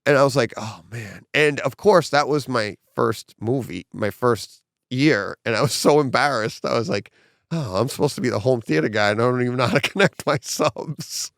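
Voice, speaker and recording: Man, middle-aged, home theater podcast host, slightly hoarse, lively storyteller, dry close sound. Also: medium pitch, moderate pace, no room sound. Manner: animated storyteller, acts out quotes. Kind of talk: casual home theater chat.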